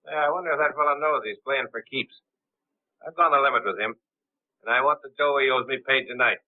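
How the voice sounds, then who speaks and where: An actor playing a curt, hard-nosed middle-aged gambling boss in a 1930s film, terse and suspicious, with tinny sound. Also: male voice, mid-range voice, quick pace, lightly acted. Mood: menacing.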